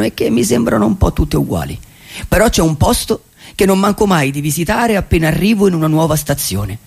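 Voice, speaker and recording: Radio play: male actor as a dreamy, wry drifter, fairly high-pitched and husky, telling poetic tales with pensive pauses. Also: darkish tone, heavily acted. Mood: wry, confiding. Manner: wistful, musing, rhetorical questions, deliberate pauses. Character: wry, dreamy male vagabond storyteller, society's gentle outcast. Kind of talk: theatrical radio monologue, poetic storytelling.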